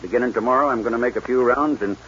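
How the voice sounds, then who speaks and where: A man's voice: mid-range voice, very fast, a bit bright, close to an everyday voice. An actor playing a proud, stubborn aging rancher father in a 1940s radio western, with a folksy American drawl and a stammer when moved. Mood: earnest. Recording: noisy muffled 1940s radio recording.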